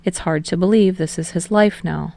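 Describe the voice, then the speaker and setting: Casting: female audiobook narrator, slightly low register with plenty of vocal fry, reading prose fiction. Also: normal speed, warm tone.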